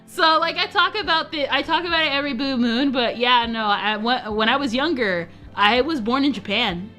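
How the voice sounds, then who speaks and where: A woman's voice: sits high, normal speed, pushed and bright, faint music behind. Giggly, rambling young woman streamer. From United States. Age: young adult. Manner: rambling, giggly, self-interrupting. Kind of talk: casual chat stream.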